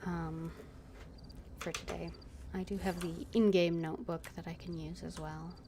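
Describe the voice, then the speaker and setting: A woman streaming a game, thinking aloud in an unhurried, casual way. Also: fairly low pitch, flat delivery, noisy background. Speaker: gaming streamer. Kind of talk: casual gameplay commentary.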